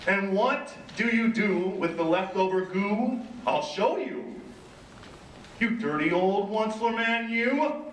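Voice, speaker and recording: High, slow, nagging woodland creature, acted by a man, mournful storybook stage reading, echoey noisy room. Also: expressive, a bit bright, distant mic, exaggerated voice. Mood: scolding.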